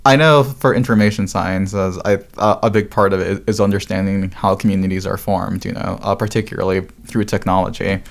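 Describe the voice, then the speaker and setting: Very low, gravelly-voiced male interviewer. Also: steady tone, brisk, few pauses, soft background music. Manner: hesitant questions full of fillers. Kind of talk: podcast interview.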